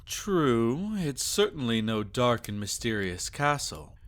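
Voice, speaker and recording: A man playing a teasing, doting vampire lord in an intimate ASMR romance roleplay, with soft chuckles and sighs. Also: mid-range voice, big pitch swings, slow pace. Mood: sated, tender.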